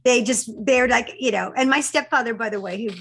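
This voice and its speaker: A woman's voice: a little high, fairly quick, fairly bright tone, slight background noise. A woman, middle-aged, telling personal stories in casual conversation with an effusive, emphatic manner. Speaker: guest, filmmaker.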